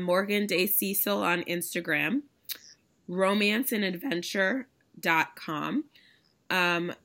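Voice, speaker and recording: A woman hosting a podcast in casual, chatty conversation, her voice raspy and hoarse from a recent illness. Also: mid-range voice, pushed and bright. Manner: chatty, laughing easily, enthusiastic. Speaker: podcast host. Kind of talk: casual chat.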